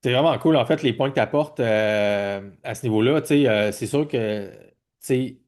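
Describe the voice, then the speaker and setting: A man in his forties with a Quebec French accent hosts a casual podcast interview at a medium pitch. He speaks informally, feeling his way through questions and rephrasing them as he goes. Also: moderate pace, a little mellow. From Quebec, Canada. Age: 40s. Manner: informal, rambling, self-correcting questions. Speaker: podcast host.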